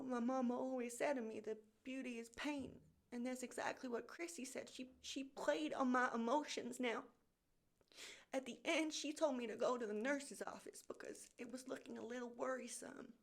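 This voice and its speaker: A woman playing an anxious, wounded high school girl giving melodramatic, earnest testimony in a comedy roleplay. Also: gravelly. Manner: dramatic, earnest storytelling.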